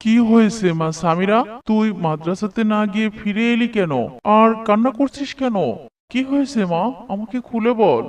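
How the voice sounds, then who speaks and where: A man voicing a gentle middle-aged father in a cartoon, concerned and reassuring. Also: high voice, normal speed, distant mic, lightly acted. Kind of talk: cartoon voice acting.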